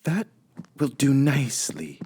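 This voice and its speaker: A man's voice: mid-range voice, big pitch swings, a little slow, dark tone, slightly rough, pitched above the performer's own voice. A man voicing a cheerful, literal-minded housemaid in a children's storybook, earnest and eager to please. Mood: musing, pleased.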